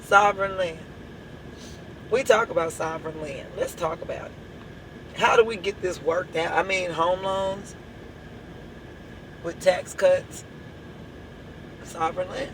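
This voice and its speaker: A hoarse woman with a drawl leads her church in an impassioned address. She hammers at the same rhetorical questions again and again, pressing each point home. A faint background hum sits under her voice. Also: fairly low pitch, fairly even intonation, slow, pauses often, rough recording. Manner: emphatic, repeats rhetorical questions. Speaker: church leader.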